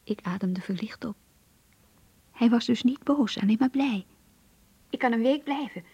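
A woman's voice: on the high side, moderate pace, dry recording, dull audio. An actress playing a young woman in love, reading her diary in a radio drama, intimate, yearning and earnest. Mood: nervous, expectant. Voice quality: breathy, whispery. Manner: intimate, hushed, confiding.

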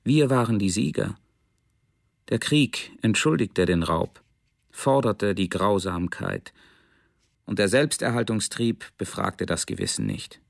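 An actor playing a hardened German soldier in a radio drama, giving a measured, grim first-person testimony. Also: male voice, lowish voice, big pitch swings, vocal fry, dry recording, studio quality, full character voice. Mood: unsparing, grim. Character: hardened German soldier recounting plunder without mercy.

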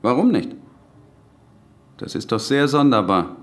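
Menacing officious policeman, medium-pitched voice, curt, bureaucratic, interrogating, radio play. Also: sing-song, measured pace. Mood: suspicious, officious. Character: brusque, menacing, officious male Nazi-era police official. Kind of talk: German radio drama acting.

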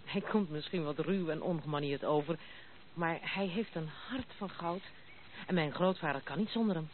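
A woman's voice: low voice, lightly acted. An actress playing a blunt, proud, self-assured young noblewoman in a radio play. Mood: brisk, self-assured. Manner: brisk, self-assured, outspoken. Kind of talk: Dutch radio play acting. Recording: muffled narrow-band old radio recording, noisy.

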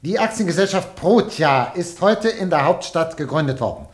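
Newspaper chief, radio play, higher-than-average voice, brisk, businesslike. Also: male voice, lively intonation. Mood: brisk dictation. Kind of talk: German radio play acting.

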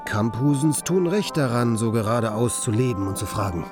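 A man performing a reflective, wry commentator in a literary radio play, with measured, pointed phrasing. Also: mid-range voice, expressive, music in the background, close to an everyday voice. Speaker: second narrator.

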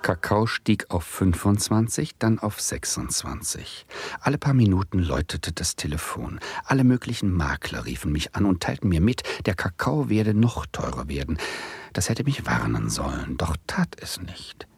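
Rauer, nervöser Kleinspekulant mit tiefer Stimme. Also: male voice, deep voice, big pitch swings, moderate pace, gravelly, husky, no echo, heavily acted. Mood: wry, rueful. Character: anxious, greedy amateur speculator narrating his own folly. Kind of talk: 1970s German radio drama, first-person narration.

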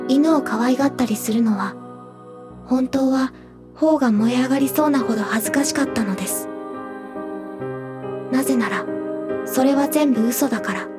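A woman playing a delicate, sweet and quietly intense teenage girl in an audio drama, speaking softly and with careful phrasing. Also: high voice, close to an everyday voice. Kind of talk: Japanese audio drama acting.

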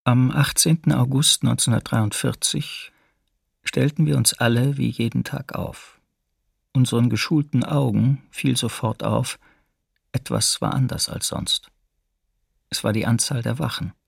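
An actor playing a camp survivor who soberly gives first-person testimony in a documentary radio drama. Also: male voice, medium pitch, fairly even intonation, measured pace, creaky, breathy edge, dry recording, studio quality, heavily acted. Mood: grave recollection. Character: male camp survivor soberly recounting his ordeal.

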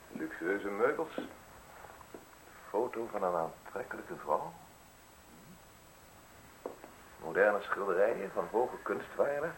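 An actor playing a sly, falsely modest male visitor in a radio play, speaking in deliberate, ornate, theatrical phrases with pauses. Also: fairly low pitch, fairly bright tone, rough recording. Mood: appraising, admiring. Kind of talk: Dutch radio play acting. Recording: hissy radio drama recording.

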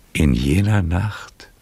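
Radio drama: weary, worldly old charmer, husky and gravelly, very slow, melancholy and tender. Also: male voice, sits low, a little mellow, full character voice. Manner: slow, halting, sighing delivery with dramatic swells. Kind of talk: literary radio play acting.

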